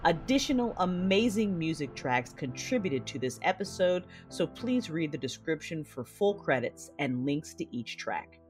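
A woman's voice: deep voice, expressive, moderate pace, hardly any pauses, faint music behind. A woman hosting a podcast, reading a scripted outro with announcements and credits. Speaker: podcast host and producer.

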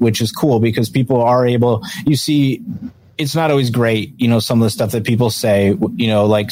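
A man's voice: mid-range voice, darkish tone. A man from Western New York hosting a casual show, chatty and rambling, with frequent fillers. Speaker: podcast host. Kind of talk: casual conversation.

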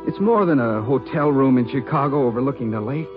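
A man's voice: medium pitch, expressive, fairly quick, close to an everyday voice. A man playing a dreamy, hopeful young husband, romantic and fervent, in 1950s radio drama style. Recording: tinny old radio recording, music behind.

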